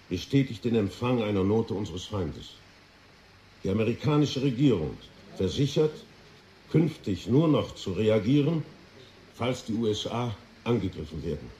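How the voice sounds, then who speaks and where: Weary, blunt statesman for radio drama; low, mellow, set in an echoey room with faint hiss. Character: weary, blunt American foreign minister briefing the press. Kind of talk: 1980s German radio drama acting. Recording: roomy echo with faint hiss.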